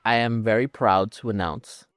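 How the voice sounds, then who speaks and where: Man, podcast co-host, smooth clear voice, dry close sound, casual gaming chat, informal. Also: lowish voice, fairly even intonation, moderate pace, a bit bright, no room sound. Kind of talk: casual chat about video games.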